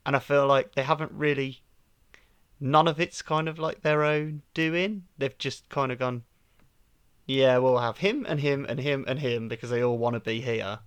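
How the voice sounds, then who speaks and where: A man talking as a podcast guest in a loose, opinionated way, often laughing. His voice sits in a middle pitch. The recording is dry and close, with no room sound. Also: moderate pace, clear, resonant tone, no echo. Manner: chatty, opinionated, laughs easily. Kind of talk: casual sports podcast chat.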